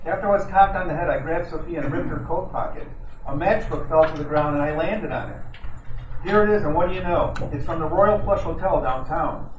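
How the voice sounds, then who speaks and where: A man's voice: on the high side, fairly quick, close to an everyday voice. A man playing a wisecracking, streetwise 1940s private detective in old time radio style, telling his story in slang. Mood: recounting. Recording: echoey, distant room with loud noise.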